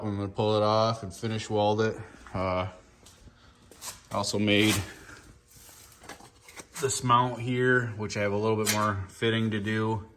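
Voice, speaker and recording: A man's voice: low voice, fairly bright tone. A man giving a casual, plainspoken hands-on walkthrough as a car builder, thinking aloud in an informal way. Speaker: car builder hosting a fabrication video. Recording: noisy handheld shop video, ambient noise.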